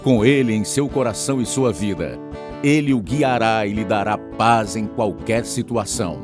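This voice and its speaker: A man with a Brazilian accent reading a scripted radio announcement in a formal, clearly paced way. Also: on the low side, normal speed, over music.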